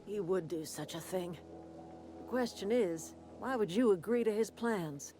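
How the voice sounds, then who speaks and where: A woman's voice: medium pitch, a little slow, warm tone, close to an everyday voice. An actress playing a proud, motherly elderly woman who leads a camp in a video game, plain-spoken and folksy. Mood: bitter, defiant. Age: older. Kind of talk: video game character dialogue. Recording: music behind the voice.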